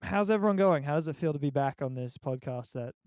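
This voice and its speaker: Dry, higher-voiced young Australian man hosting. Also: lively intonation, fast, a little mellow, no echo. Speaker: podcast host. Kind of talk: casual group podcast chat.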